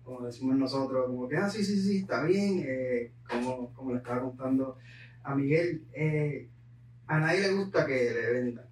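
A Puerto Rican man telling his story as a podcast guest, mixing in English words. He sits some distance from the mic in an echoey room. His pitch sits in the middle. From Puerto Rico. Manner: unhurried, peppers speech with English words. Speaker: guest entrepreneur. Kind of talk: conversational storytelling.

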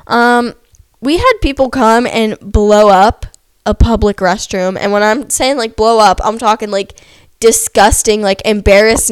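A woman's voice: on the high side, clear, resonant tone, no room sound. Stuffy-voiced young woman ranting on a podcast. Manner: animated, sarcastic, heavy use of "like". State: stuffy, losing her voice. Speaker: podcast host. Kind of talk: casual ranting podcast monologue.

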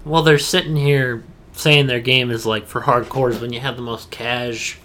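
A man's voice: medium pitch, fairly even intonation, normal speed, pushed and bright. A man co-hosting a casual gaming podcast, talking in a slangy, blunt and scornful way. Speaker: podcast co-host.

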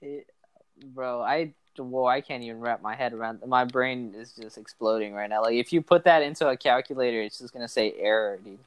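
A young man from Los Angeles hosting a podcast, chatting casually in an excitable, slangy way. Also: medium pitch, fairly quick, smooth, no room sound. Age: young adult. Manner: excitable, slangy, says bro and dude a lot. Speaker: podcast host. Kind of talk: casual chat.

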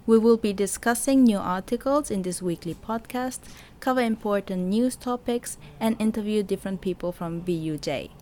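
A woman raised in Barcelona, co-hosting a podcast in an earnest, measured way. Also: mid-range voice, dark tone. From Barcelona, Spain. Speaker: podcast co-host. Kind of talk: reading a podcast introduction.

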